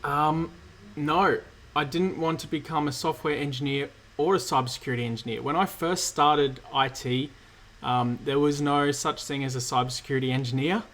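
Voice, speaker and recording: A man, slightly croaky from illness, hosting a relaxed live-stream Q&A and answering chat questions with many fillers. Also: fairly high pitch, moderate pace, few pauses. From Australia. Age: 30s. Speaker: cybersecurity streamer host.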